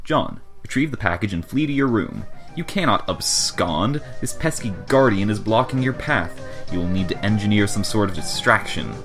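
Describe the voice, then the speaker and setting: Low-voiced man narrating with theatrical gravity. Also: animated, normal speed, hardly any pauses, over loud music. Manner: theatrical, mock-serious. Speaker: narrator of a fan comic dub. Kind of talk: dramatic scripted second-person narration of a comic adventure.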